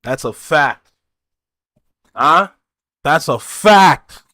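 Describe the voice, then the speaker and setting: A high-voiced man with a bright, sharp tone reacts to music for his viewers, excitable and hyping each moment. He talks in an unhurried way, recorded close with a dry sound. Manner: excitable, hypes and addresses viewers as "y'all". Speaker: reaction video host. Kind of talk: casual music reaction commentary.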